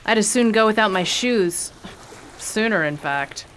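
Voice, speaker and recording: A woman acting a rough-spoken, cheeky passenger in a radio drama. Her talk is blunt and slangy, and a faint hiss runs under the voice. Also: medium pitch, lively intonation, unhurried, a bit bright.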